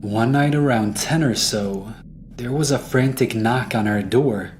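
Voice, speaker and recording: A man's voice: medium pitch, a little slow, noisy background. A man narrating a scripted first-person horror story. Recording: music behind the voice.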